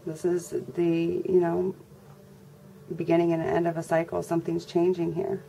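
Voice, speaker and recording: A congested woman giving a casual tarot reading to her viewers in a flat, monotone way. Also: normal speed, gravelly. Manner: monotone, low-energy delivery. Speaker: tarot reader. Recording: noisy recording with birds chirping. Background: birds and background noise.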